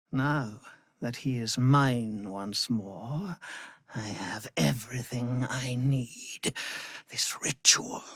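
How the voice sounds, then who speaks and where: An actor playing a cruel, pompous immortal vampire lord in a fantasy video game, menacing and theatrical, moving between hushed threats and grand declarations. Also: male voice, sits high, slightly rough, no room sound, clean studio sound, heavily acted.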